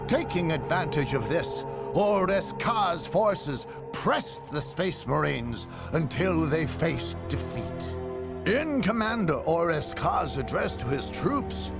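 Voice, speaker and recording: Slow, stately, creaky war chronicler. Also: male voice, on the high side, expressive, music in the background, exaggerated voice. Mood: grave, measured. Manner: measured, formal, storyteller-like. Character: grave, stately chronicler of a far-future war. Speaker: narrator. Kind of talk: dramatic scripted narration for a game.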